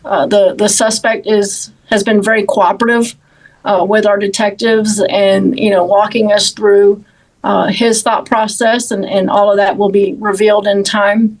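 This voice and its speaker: Woman, law enforcement official, interview answers, halting and measured, repeated words, medium pitch. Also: flat delivery, rough recording. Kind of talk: interview answers about an investigation.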